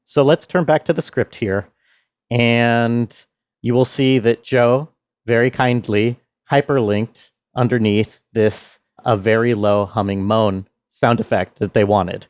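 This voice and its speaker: A man explaining his sound design work in a measured behind-the-scenes walkthrough. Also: medium pitch, steady tone, no echo.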